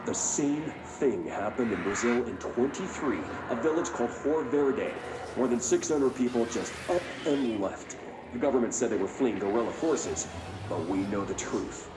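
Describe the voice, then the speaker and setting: An actor playing a polished, patronising host of a late-night conspiracy radio call-in show in a game. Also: male voice, medium pitch, expressive, moderate pace, echoey room, background noise, lightly acted. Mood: grave, intrigued.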